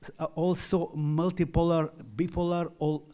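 French-accented guy lecturing at a panel, bit high but mellow voice, halting english. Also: flat delivery. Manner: reads a structured lecture in halting second-language English. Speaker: panel speaker, professor. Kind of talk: academic conference talk. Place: large conference hall with audience.

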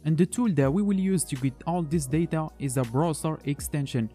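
A man's voice: fairly high pitch, a little fast, mellow, faint music behind. A man narrating a computer screen tutorial as host, talking through each step in a plain instructional way. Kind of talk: screen-recorded tech tutorial voice-over.

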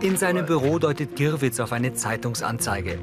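A man's voice: medium pitch, expressive, normal speed, over music. A man reading scripted audio description, narrating scenes in the present tense.

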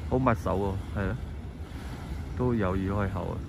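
A man's voice: deep voice, normal speed. A man speaking Cantonese, talking casually and unhurriedly with lots of fillers as he narrates his fishing vlog outdoors by the sea. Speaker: fishing vlogger. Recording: outdoor noise behind the voice.

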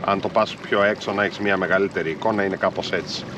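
Man, 30s, lowish voice, calm fishing vlogger talking to an action camera on a small boat at sea, clear water and motor noise under him. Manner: calm, explanatory, talks while fishing. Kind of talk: casual on-boat commentary to viewers. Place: small inflatable boat on open sea, outdoors. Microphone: action camera mounted on boat.

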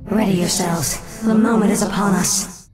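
A woman's voice: medium pitch, steady tone, normal speed, loud music behind the voice, lightly acted, rough recording. A woman playing a cold, proud, commanding undead banshee queen in a fantasy video game. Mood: fierce, commanding.

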